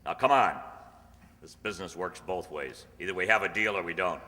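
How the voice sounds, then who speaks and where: Man acting a low-voiced hard-boiled detective, echoey hall. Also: a little fast, bright, forceful tone. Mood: angry. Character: tough undercover police detective posing as a drug buyer. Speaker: actor and first-person narrator in a radio drama. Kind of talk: old-time radio crime drama acting. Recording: echoey hall, music behind.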